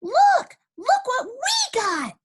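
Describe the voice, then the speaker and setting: A woman voicing loud, eager little schoolgirls bragging in a children's storybook reading. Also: extremely high pitch, wildly swooping pitch, very slow, voice pushed up, heavily acted. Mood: excited. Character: excited little girls, hollering school friends. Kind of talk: children's storybook read aloud.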